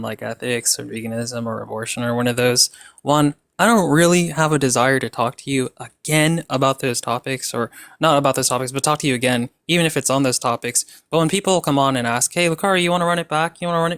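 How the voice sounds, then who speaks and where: A man's voice: mid-range voice, a bit bright, dry recording. Man, online debater, mocking, laid-back slang, dry close sound. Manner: mocking, casual, lots of fillers. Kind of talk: online debate trash talk.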